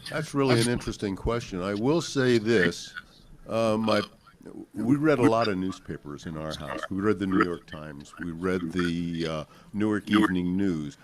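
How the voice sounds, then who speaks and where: An older man with an American accent recounts family memories to an audience in a halting, deliberate, reflective way. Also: deep voice, noisy background. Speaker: panel speaker sharing family recollections. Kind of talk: unscripted storytelling to an audience.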